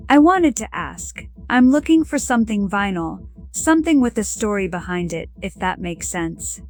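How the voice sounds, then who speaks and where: A woman playing an eager young professional in an audio drama, with chatty, brisk sales patter. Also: medium pitch, very animated, faint music behind. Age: young adult. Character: bright young professional woman, eager streaming-platform scout. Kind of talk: scripted audio drama dialogue.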